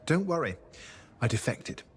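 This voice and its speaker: An actor playing a haunted, earnest ex-spy in a video game, with a measured, reflective delivery. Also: male voice, on the high side, very animated. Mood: guarded, wry. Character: grave, haunted, earnest defected spy, grown man. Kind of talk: video game dramatic acting.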